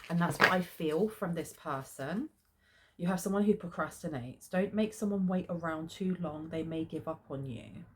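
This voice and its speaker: A woman's voice: fairly low pitch, normal speed, noisy background. A woman with a head cold, sniffly, giving a chatty tarot card reading with plenty of ums. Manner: chatty, many filler ums. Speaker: tarot reader.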